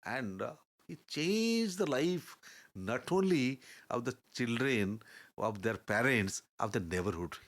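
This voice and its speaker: An older man, a guest telling stories in a halting, earnest way, often pausing to search for words. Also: mid-range voice, lively intonation. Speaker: interview guest. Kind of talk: reflective storytelling in conversation.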